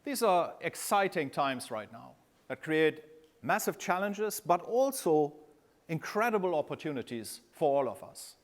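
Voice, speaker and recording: A man gives a scripted corporate address as a guest speaker. His voice sits fairly high and moves a lot, and he stresses key terms one after another. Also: big pitch swings, unhurried. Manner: emphatic, lists keywords with stress.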